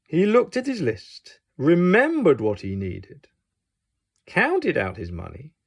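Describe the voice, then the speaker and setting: A man reading a picture book aloud to young children as an expressive storyteller. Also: a little high, slow pace, creaky, breathy edge.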